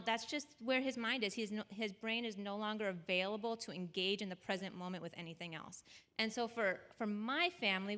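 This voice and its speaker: A woman with a bright, crisp voice answers parenting questions on a panel in a church. She speaks in a conversational way and keeps checking in with her listeners, tossing in a quick "right?". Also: medium pitch. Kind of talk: advising at a panel discussion. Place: church auditorium.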